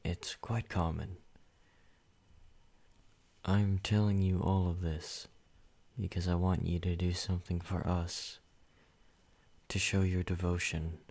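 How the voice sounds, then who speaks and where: Man doing a deep, slow obsessive vampire. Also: flat delivery, heavily acted. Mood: possessive. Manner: soft, intimate, slow and controlling, slipping into whispers. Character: possessive male yandere vampire, obsessive and menacing. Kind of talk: ASMR roleplay.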